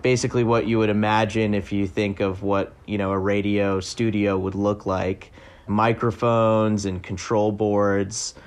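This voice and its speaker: Guy reporter, lowish voice, super casual, lots of likes and uhs. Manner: loose, filler-heavy talk with many likes and uhs. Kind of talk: casual interview answers.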